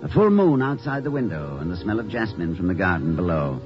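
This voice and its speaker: An actor playing a doting, dreamy college president in a 1950s radio drama, with a flustered, stammering, genteel way of talking, on a muffled old recording. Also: male voice, sits low, sing-song, brisk, music in the background. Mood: tender, playful. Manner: flustered stammering, effusive, genteel turns of phrase.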